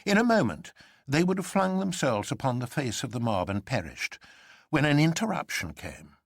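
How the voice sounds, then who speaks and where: Animated male storyteller, dry sound. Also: mid-range voice, moderate pace, gravelly, dry recording. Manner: theatrical, expressive. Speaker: narrator. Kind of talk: dramatic literary narration.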